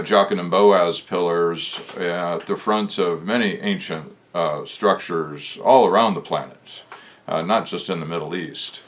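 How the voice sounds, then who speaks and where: A man with a deep, low voice, a bit hoarse today, thinks aloud at his own pace. He hosts his own talk, reflective and casual, and stops often with "uh" while he gathers his thoughts. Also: fairly bright tone. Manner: musing, unhurried, with frequent "uh" pauses. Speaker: channel host discussing Masonic lore.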